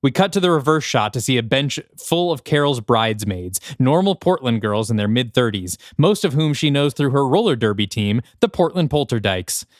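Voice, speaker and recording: A man reading scripted screenplay narration for an audio drama in an animated, descriptive manner. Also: mid-range voice, moderate pace, few pauses, dry recording, studio quality.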